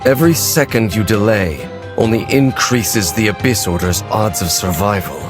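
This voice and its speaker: A man voicing a stern, aloof lone warrior in a video game, speaking in a formal, measured, terse manner. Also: lowish voice, music in the background, natural voice. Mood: impatient, resolute. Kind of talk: dramatic video game character acting.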